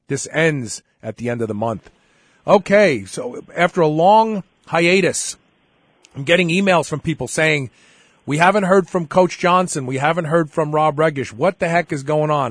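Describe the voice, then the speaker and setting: A man's voice: a little high, dry recording. Congested male radio host, dry studio sound. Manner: chatty, brisk, steers the conversation. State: congested with a head cold. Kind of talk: casual talk radio hosting.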